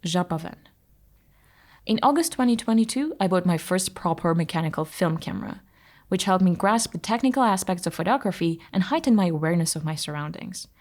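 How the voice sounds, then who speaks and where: Low-voiced woman. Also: animated, fairly quick, a little mellow. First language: Armenian. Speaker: narrator reading a personal essay. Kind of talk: scripted audio article narration.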